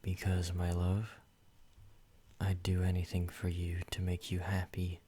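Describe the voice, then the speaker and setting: Deep-voiced obsessive vampire lover, played by a man, slow, flat, hushed ASMR delivery. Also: breathy edge. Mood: intimate. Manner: soft, intimate, slow and controlling, slipping into whispers. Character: possessive male yandere vampire, obsessive and menacing. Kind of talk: ASMR roleplay.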